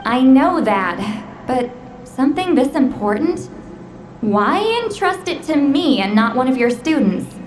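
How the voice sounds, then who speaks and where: A woman voicing a proud, prickly young shaman in a video game, speaking in a brisk, haughty way. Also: high voice, expressive, soft background music. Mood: impatient, prickly. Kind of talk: video game character acting.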